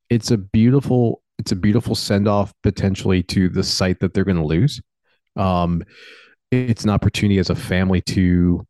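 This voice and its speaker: A man with a low, mellow voice thinks out loud as a co-host, pausing often and filling gaps with ums. His voice is recorded dry and close. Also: no room sound. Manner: thoughtful, hesitant, many ums. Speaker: show co-host. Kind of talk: casual reflective talk.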